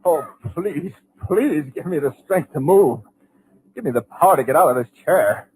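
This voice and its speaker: A man's voice: a little high, animated, normal speed, a little mellow, breathy edge, poor audio. A man playing a terrified, helpless diarist in an old radio horror drama, narrating in an anguished, breathless present tense. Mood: panicked horror. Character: terrified, helpless male diarist held in a trance. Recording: vintage radio recording with music.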